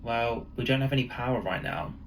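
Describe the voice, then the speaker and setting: A man's voice: medium pitch, a little fast, creaky, recorded from a distance, a little hiss or hum, lightly acted, rough recording. A man voicing a puzzled, persistent husband calling customer service in a spooky story, earnest and insistent.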